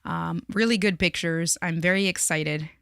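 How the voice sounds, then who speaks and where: Woman live streamer; bright, dry close sound; playful, laughing banter. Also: fairly low pitch, moderate pace, vocal fry, clean tone, no room sound. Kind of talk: casual chat on a livestream. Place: friend's home studio.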